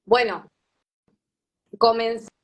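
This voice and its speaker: A woman's voice: a little high, steady tone, slow pace, dull audio. Thoughtful Argentine woman in her thirties. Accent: Argentine Spanish. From Patagonia, Argentina. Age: 30s. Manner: thoughtful, many fillers and restarts. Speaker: yoga teacher, guest. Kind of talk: reflective interview talk.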